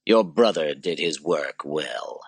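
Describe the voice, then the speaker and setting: A man voicing a hardened enslaved general who speaks low, slow, clipped and bitter. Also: animated, breathy edge, dry recording, heavily acted.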